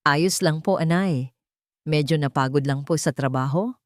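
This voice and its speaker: A woman's voice: sits low, normal speed, dry recording, lightly acted. Woman narrator acting young daughter-in-law, Filipino accent, falsely sorrowful, pleading, weighty words, storybook drama, dry sound. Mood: weary.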